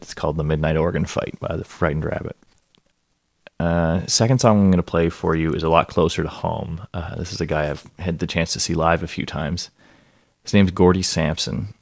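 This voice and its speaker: A man presents songs on his radio show in a relaxed, rambling way, often pausing to find his words. His voice sits extremely low and has a gravelly, fried edge. The sound is dry, with no room around it. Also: no echo. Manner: easygoing, chatty, hesitant with many fillers. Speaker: radio music show host. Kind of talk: casual radio commentary between songs. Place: Prince Edward Island.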